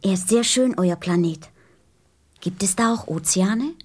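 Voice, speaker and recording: A woman's voice: on the low side, unhurried, breathy edge. A woman playing a curious, innocent little boy prince in a radio play, earnest and questioning.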